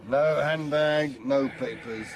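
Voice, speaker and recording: A man's voice: mid-range voice, slow. A man playing a gloomy, resigned district police inspector who feels hard done by. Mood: glum, matter-of-fact. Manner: glum, resigned.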